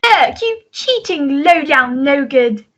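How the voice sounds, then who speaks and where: A woman's voice: high-pitched, very animated, slow, fairly bright tone, highs cut off, heavily acted. A woman playing an indignant, quarrelsome jilted sweetheart in an amateur melodrama radio play.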